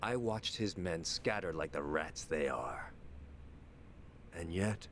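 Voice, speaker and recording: An actor playing a bitter, vengeful son of a crime family in a game cutscene, measured and contemptuous. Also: male voice, expressive, fairly bright tone, heavily acted. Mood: cold, bitter. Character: bitter, vengeful, cold-hearted son of a ruined yakuza family. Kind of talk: dramatic video game cutscene monologue. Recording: rumbling background noise.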